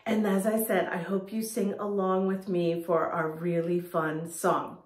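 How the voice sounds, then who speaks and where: A woman's voice: fairly low pitch, level tone, measured pace. A woman reading a picture book aloud to young children, encouraging and emphatic, speaking to them directly. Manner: encouraging, addresses young listeners directly with questions. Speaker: children's storytime reader and host.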